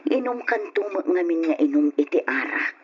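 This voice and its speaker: An actress playing a worried, nagging wife in an old radio drama, plaintive and scolding, with a husky voice. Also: female voice, fairly low pitch, animated, normal speed, dull audio, a little hiss or hum, lightly acted. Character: worried, nagging wife pleading with her drunkard husband.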